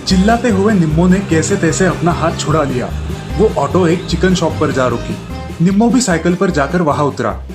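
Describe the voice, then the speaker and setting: A man narrates a scripted children's tale as the storyteller. His voice sits a little high for a man. Light music runs behind him. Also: lively intonation, normal speed.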